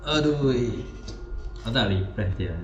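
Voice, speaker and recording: A man's voice: medium pitch, expressive, moderate pace. A man chatting casually as he streams a game, informal and repetitive, often muttering. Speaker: gaming streamer. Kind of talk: casual game livestream chat. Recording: roomy, dull sound with faint noise.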